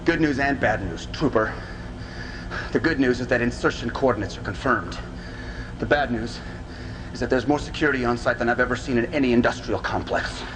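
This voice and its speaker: A man's voice: mid-range voice, a little fast, background noise, lightly acted. An actor playing a wry, skeptical male recon operative reporting informally in a video game cutscene. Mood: wry, wary.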